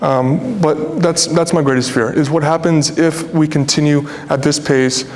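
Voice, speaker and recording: Young North American male developer, colloquial and earnest panel remarks, raised pitch, long hall reverb. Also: measured pace, a little mellow. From Toronto, Canada. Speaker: real estate developer on a panel. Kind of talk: informal panel discussion remarks. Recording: echoey hall, noisy with low rumble.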